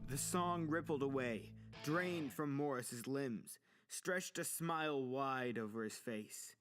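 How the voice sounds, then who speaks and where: A man's voice: mid-range voice, slow pace, fairly bright tone. A man reading a dramatic story as scripted audiobook narration. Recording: music playing under the voice. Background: music with guitar.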